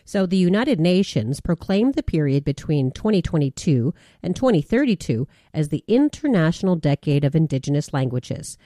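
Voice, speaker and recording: A woman hosting a radio show, narrating a scripted feature in a measured, deliberate way. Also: low-pitched, big pitch swings, mellow, dry recording, studio quality.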